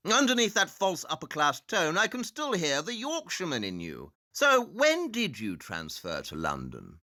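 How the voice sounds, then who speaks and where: An actor playing a haughty, probing detective in an audio play, with precise, pedantic delivery. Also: male voice, on the high side, huge pitch swings, moderate pace, pushed and bright, dry recording, studio quality, heavily acted. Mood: cold, accusing. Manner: precise, clipped, pedantic interrogation.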